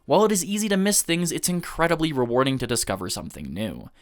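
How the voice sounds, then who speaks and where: A man reading a scripted review in the style of a video essay, earnest and measured, with emphatic stresses. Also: on the high side, animated.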